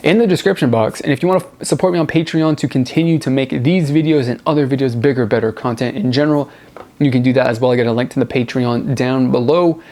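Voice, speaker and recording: A man's voice: medium pitch, quick pace, a little mellow. Enthusiastic man chatting casually to viewers. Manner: enthusiastic, repeats words for emphasis; rambling, informal. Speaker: host of a music review show. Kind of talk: casual vlog review talk to camera.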